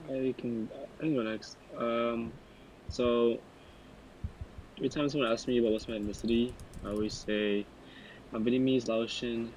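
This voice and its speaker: A man's voice: mid-range voice, fairly even intonation, moderate pace, darkish tone, slight background noise, rough recording. A young man talking in a casual podcast discussion, loose and rambling, who sometimes loses his thread. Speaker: podcast panelist.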